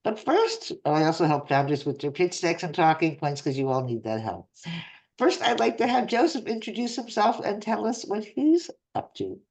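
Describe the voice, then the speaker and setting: A woman hosting an online investor meetup, lively and laughing often. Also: on the low side, normal speed. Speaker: host. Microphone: video call.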